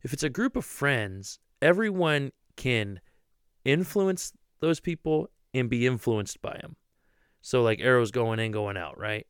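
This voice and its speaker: A man's voice: mid-range voice, lively intonation, unhurried, clean tone, no room sound, studio quality. A man from Ohio co-hosting a ministry podcast in casual chat, talkative, often repeating words as he starts a thought. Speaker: podcast co-host, youth pastor.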